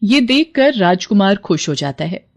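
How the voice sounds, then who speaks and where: A woman's voice: medium pitch, sing-song, moderate pace. A woman narrator reading a scripted fairy tale in storybook style.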